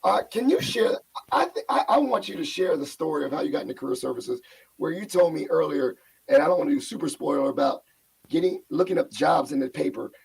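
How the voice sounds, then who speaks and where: Raspy, gravelly male host on a video call, upbeat and rambling, slightly high voice. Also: animated, brisk, poor audio. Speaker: podcast host. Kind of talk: casual chat.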